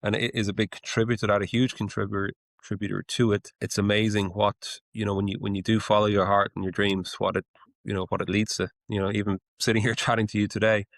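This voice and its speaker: A man's voice: fairly low pitch, level tone, fast, gravelly, no echo. A man in his forties from Ireland with an Irish accent, a guest answering interview questions in a hesitant, searching way. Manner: hesitant, with many fillers and restarts. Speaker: guest, menswear brand founder. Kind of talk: casual interview.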